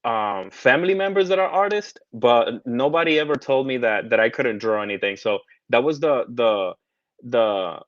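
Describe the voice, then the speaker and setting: A man's voice: mid-range voice, animated, moderate pace, no echo. A man in his thirties who grew up between the United States and the Caribbean, talking as a guest in a casual interview, hesitant, with many restarts and fillers. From United States, raised partly in the Caribbean. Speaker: guest, tarot artist. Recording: dry, dull lo-fi sound.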